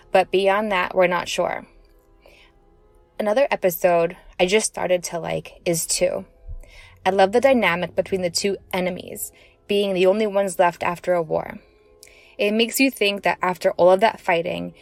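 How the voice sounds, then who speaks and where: Female podcast listener, lowish pitch, fairly dry sound with faint music underneath, reading prepared thoughts. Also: creaky, dry recording. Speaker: podcast listener giving a recorded contribution. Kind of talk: prepared personal commentary.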